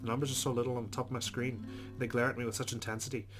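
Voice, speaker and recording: A man's voice: medium pitch, little pitch movement, rapid-fire. A man reading a visual novel aloud as a let's play host, adding casual commentary. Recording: background guitar music. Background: game music with plucked guitar.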